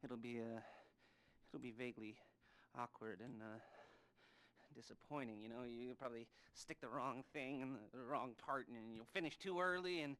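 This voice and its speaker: An actor playing a sardonic middle-aged American heist planner in a video game, rambling and hesitant with awkward pauses. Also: male voice, medium pitch, fairly bright tone, clear, resonant tone, natural voice. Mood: awkward, creepy amusement. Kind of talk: video game character dialogue.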